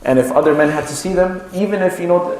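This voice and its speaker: A man giving an Islamic religious lecture, explaining haltingly and repeating key phrases for emphasis. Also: sits high, a little mellow. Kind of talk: sermon-like lecture retelling and explaining a religious story. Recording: very echoey room with faint noise.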